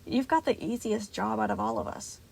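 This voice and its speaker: A woman's voice: mid-range voice, fairly quick, creaky, a little mellow, slightly rough. A woman voicing a snarky, fierce female animatronic who snaps and mocks in a story reading. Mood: mocking. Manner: snapping, mocking, snarling.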